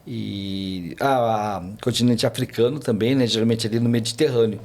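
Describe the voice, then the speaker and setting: A man's voice: on the low side, fairly even intonation, normal speed, vocal fry, a little mellow. A man, a podcast guest telling travel stories in a rambling casual way, full of fillers and restarts. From Brazil. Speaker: podcast guest, tour guide. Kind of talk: casual chat, storytelling.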